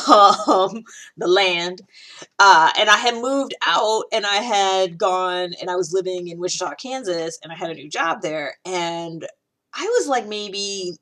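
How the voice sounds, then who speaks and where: A woman's voice: on the low side, pushed and bright. A woman in her thirties from Ohio, talking casually on her podcast in a rambling way, full of restarts and fillers. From Ohio, USA. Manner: chatty, heavy use of "like", frequent restarts. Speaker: podcast host. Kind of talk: casual personal monologue.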